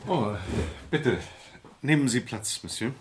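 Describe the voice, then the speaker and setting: A man's voice: mid-range voice, slow, lightly acted. A man acting a young, inexperienced lawyer with a wry, formal, slightly self-important manner in an eighties radio comedy. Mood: polite, impressed. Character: young inexperienced male lawyer pretending to be busy. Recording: noisy 1980s archive radio recording.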